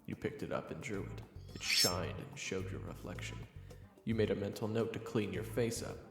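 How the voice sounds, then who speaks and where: A man with a low voice narrates a scripted second-person tale. His delivery has a creaky, gravelly edge, and music plays clearly behind him. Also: normal speed, echoey room, long reverb tail.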